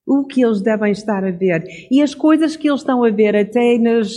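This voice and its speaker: Middle-aged preacher type, calm reflective pace, fixes own words now and then. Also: mid-range voice, a little hiss or hum. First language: English. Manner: reflective, measured, with small self-corrections. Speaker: devotional speaker. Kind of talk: Bible devotional message.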